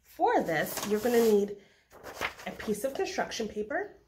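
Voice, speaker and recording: A woman's voice: a little high, sing-song, a little slow, noisy background. A woman reading a story aloud for children and hosting in an instructional, storytelling style. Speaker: story reader and craft host.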